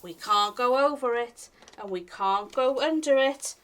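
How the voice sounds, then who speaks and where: A woman reading a children's picture book aloud in an expressive, singsong way, speaking directly to young listeners as a library storytime reader. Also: a little high, measured pace.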